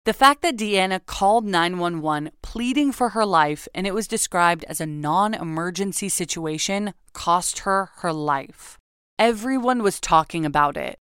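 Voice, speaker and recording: A woman co-hosting a true crime podcast, narrating a case in an earnest, soft-spoken way and reading listener shout-outs. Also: lowish voice, smooth, dry recording, clean studio sound. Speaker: podcast co-host.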